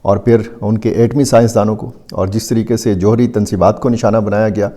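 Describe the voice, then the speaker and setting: A man with a Pakistani accent giving unscripted news analysis to viewers, speaking deliberately and haltingly with frequent drawn-out hesitation sounds. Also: on the low side, fairly even intonation, dark tone. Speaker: news commentator.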